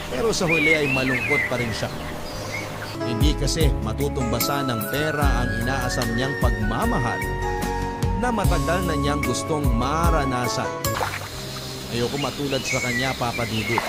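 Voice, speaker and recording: A man's voice: medium pitch, close to an everyday voice. Narrator role: actor as earnest, confessional young man. Mid-range voice, scripted first-person love drama over prominent music. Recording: music playing behind the voice.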